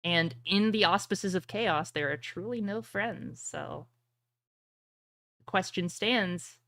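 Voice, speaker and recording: A woman acting as game master, narrating and explaining a tabletop roleplaying game in a deliberate way. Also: lowish voice, a bit bright.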